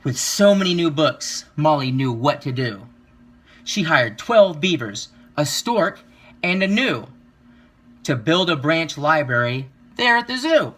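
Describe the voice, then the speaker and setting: A man reading a children's picture book aloud, with expressive, rhythmic delivery and drawn-out emphasis. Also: fairly high pitch. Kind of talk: children's story read-aloud.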